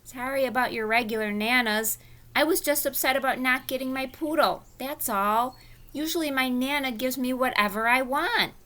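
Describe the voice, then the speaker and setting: A woman's voice: high-pitched, normal speed, pushed and bright, pitched above the performer's own voice. A woman doing a pouty, spoiled little girl. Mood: sulky. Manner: whiny and indignant. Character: prissy, pouty little schoolgirl.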